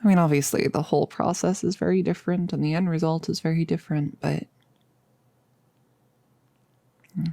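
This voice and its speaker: Woman acting as a nervous rambly girlfriend, asmr roleplay, low voice w lots of fry, super dry close sound. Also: fairly even intonation, warm tone, dry recording. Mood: nervous, confiding. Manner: rambling, confiding, halting with hesitant pauses. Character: nervous, chatty girlfriend about to become a vampire. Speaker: audio roleplay performer.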